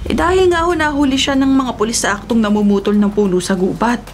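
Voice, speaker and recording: A woman's voice: on the high side, fast, slight background noise, natural voice. An actress playing an anxious wife, fretting insistently over her husband in a radio drama. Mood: pleading, anxious. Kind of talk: Tagalog radio drama acting. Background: dramatic background music.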